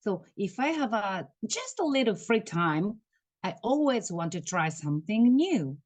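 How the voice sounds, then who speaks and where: A Japanese woman in her thirties with a Japanese accent, speaking halting English with soft laughs as a guest on a video call. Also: medium pitch, big pitch swings, no echo. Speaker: guest, tour guide. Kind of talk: casual interview over video call.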